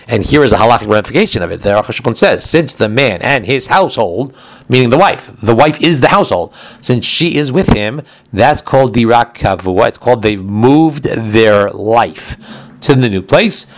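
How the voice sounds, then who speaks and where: A man's voice: mid-range voice, animated, normal speed, no echo. A man giving an informal religious law class, explaining texts in a digressive way, often rephrasing and posing questions to himself.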